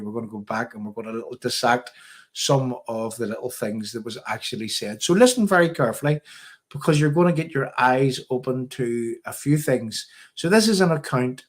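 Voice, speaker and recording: Male political stream host, Northern Irish, mid-pitched voice, emphatic and rambling delivery. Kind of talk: live political commentary to viewers.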